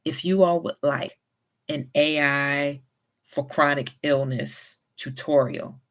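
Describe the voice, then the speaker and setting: A woman with a light Southern US accent, talking at an unhurried pace and giving friendly, encouraging advice to her viewers. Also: low voice, steady tone. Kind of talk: casual advice vlog.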